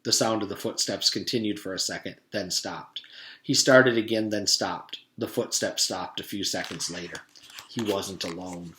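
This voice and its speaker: A man's voice: low-pitched, moderate pace, pushed and bright. A man, a teacher, reading a children's storybook aloud to his students. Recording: echoey room.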